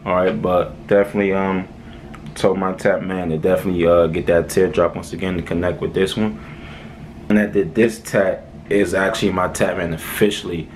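A young man with a light Haitian accent talks to camera in a quiet small room, his voice very low and flat. He drifts along easily, padding his talk with "um" and "all right". A faint hum of the room sits behind him. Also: moderate pace. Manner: laid-back, rambling, many "um"s and "all right"s. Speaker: vlogger. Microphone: camera close in front.

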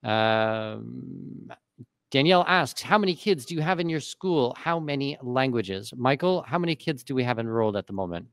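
A man's voice: mid-range voice, animated, normal speed, gravelly, fairly bright tone, no echo. A man in his thirties hosting a live webinar, reading out viewer questions and answering them at length into a studio mic. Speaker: host. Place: dim home studio. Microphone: studio mic on boom arm.

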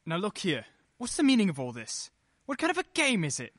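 A man acting a tense, testy gentleman husband in a radio play. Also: high voice, wildly swooping pitch, a little fast, no echo, studio quality, full character voice. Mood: rattled, angry.

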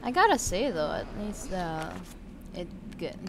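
A woman's voice: lowish voice, very animated, measured pace, creaky, noisy background. A woman giving casual, chatty commentary as she plays a video game, thinking aloud and often trailing off mid-thought. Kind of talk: casual let's-play commentary. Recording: game music behind the voice. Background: video game music.

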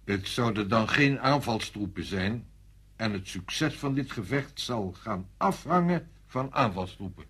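An actor playing a senior French army general in a radio drama, authoritative, insistent and deliberate in manner. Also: male voice, fairly low pitch, lively intonation, a bit bright, breathy edge, full character voice. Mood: persuasive.

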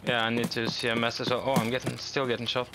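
A man's voice: mid-range voice, flat delivery, fast, background noise. A man with a Norwegian accent giving brief, matter-of-fact callouts in casual game voice chat. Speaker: gaming teammate.

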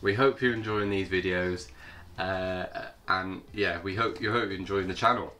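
A man with a cold, sounding hoarse, hosting his vlog in a chatty, hesitant way. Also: sits low, steady tone, normal speed, pushed and bright. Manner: chatty, hesitant with many fillers. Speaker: channel host. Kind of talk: casual vlog intro.

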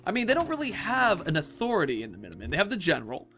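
A man in his thirties giving chatty, animated Let's Play commentary, telling a roleplay story and stressing key words. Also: high voice, very fast. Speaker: gaming video host.

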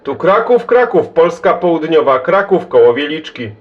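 A Polish man working as a CB radio operator, giving call signs and chatting in a brisk, friendly, informal way. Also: fairly high pitch, sing-song, background noise. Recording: dull, lo-fi CB radio recording.

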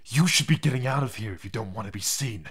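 A man's voice: medium pitch, fast talker, fairly bright tone, slightly rough. A man voicing an irritable, guarded young chameleon ninja detective in a fan audio drama, stern and clipped.

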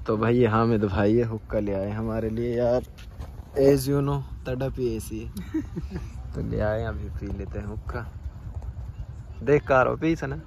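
A man's voice: mid-range voice, a little slow, pauses often, darkish tone. A young man, vlogging casually to his viewers from a phone held at arm's length. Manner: chatty, addresses viewers directly. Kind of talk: casual vlog narration. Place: outdoors and in a village shop. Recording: busy crowd noise behind.

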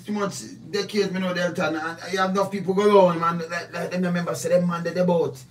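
Fast-talking Jamaican man, a bit off-mic. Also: high-pitched, flat delivery. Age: middle-aged. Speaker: interviewer, host. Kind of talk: casual phone interview in patois. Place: small foam-padded home studio. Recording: off-mic, slightly distant.

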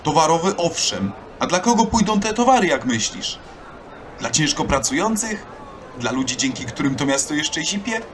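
A man's voice: on the high side, very animated, normal speed, slight background noise. An actor playing a bitter, argumentative young townsman in an audio drama. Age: young adult.

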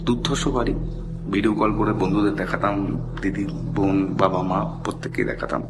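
A middle-aged man tells a personal story in a conversational, halting way, mixing in English phrases. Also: fairly low pitch, fairly even intonation. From India. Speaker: caller telling a personal ghost story. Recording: background music under the voice. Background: loud music.

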